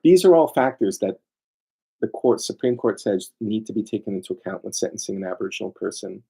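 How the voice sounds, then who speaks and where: A man's voice: on the low side, animated, a little fast, vocal fry, warm tone, husky. A man giving an informal lecture as a lawyer, emphatic and digressive, often throwing rhetorical questions at his listeners. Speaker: lawyer presenting a seminar.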